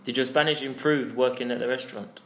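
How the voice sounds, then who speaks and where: A man's voice: mid-range voice, steady tone, fast talker. A man interviewing a traveller, asking curious follow-up questions in a casual chat. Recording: echoey room with faint noise.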